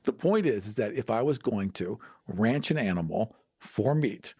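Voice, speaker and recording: A man's voice: on the low side, animated, normal speed, mellow, breathy edge, no room sound. A man bantering casually as a podcast co-host, playfully argumentative and swearing now and then.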